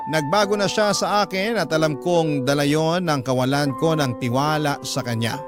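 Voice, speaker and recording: Slightly high Filipino male storyteller over music. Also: normal speed. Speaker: radio host and story narrator. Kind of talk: dramatic scripted narration of a love story.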